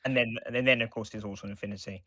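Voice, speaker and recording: A man co-hosting a casual podcast discussion, explaining in a halting, rambling way with restarts. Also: on the low side, steady tone, a bit bright, dry recording, clean studio sound. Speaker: podcast co-host.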